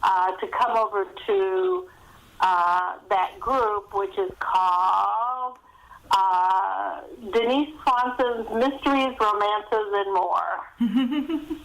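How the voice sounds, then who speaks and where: A woman's voice: medium pitch, lively intonation, drawn-out words, gravelly, fairly bright tone, rough recording. A woman speaking as a guest author in a casual podcast interview, chatty and self-deprecating.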